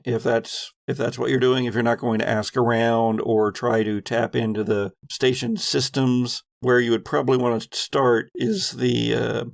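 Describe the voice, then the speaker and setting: Slow, gravelly man running a game. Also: mid-range voice, dry recording, clean studio sound. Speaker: game master of a tabletop RPG. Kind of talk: narrating and refereeing a tabletop game.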